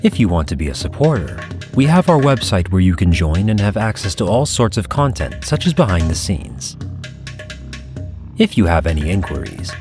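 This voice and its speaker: A man's voice: deep voice, big pitch swings, moderate pace. A man reading a scripted credits announcement. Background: music.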